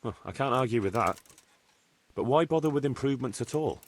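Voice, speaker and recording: An actor playing a young medieval bailiff in a video game, polite and inquisitive, speaking in a conversational way. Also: male voice, mid-range voice, expressive, a little fast. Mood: curious, practical. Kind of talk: video game cutscene dialogue.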